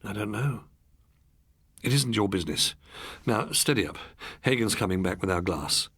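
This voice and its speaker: A man's voice: on the low side, lively intonation, moderate pace, fairly bright tone, breathy edge, no echo, clean studio sound. A man voicing a resentful, controlled husband with a brooding, clipped manner for an audiobook story. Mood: curt, steadying.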